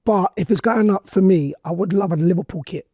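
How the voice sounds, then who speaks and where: A man hosting a casual interview podcast, his voice hoarse and nearly gone, talking in excitable slang. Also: sits high, brisk, no room sound, studio quality. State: losing his voice. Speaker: podcast host.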